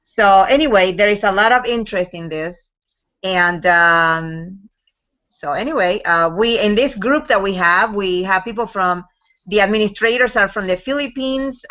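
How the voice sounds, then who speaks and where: A woman's voice: mid-range voice, bright, forceful tone. Hesitant woman on a video call. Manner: hesitant, many fillers and restarts. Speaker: guest, group administrator. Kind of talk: casual chat on a video call. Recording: dull lo-fi video call audio.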